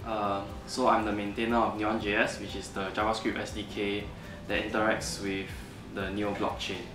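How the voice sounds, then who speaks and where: A man, a software engineer, speaking hesitantly with many fillers. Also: lowish voice, flat delivery, normal speed. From Singapore. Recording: roomy, distant mic. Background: background music.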